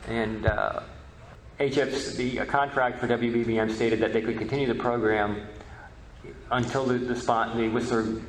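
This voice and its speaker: A man giving an informal history presentation, halting and often repeating words. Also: medium pitch, steady tone. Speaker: presenter and media researcher. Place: reverberant presentation hall. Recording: echoey hall, faint background noise.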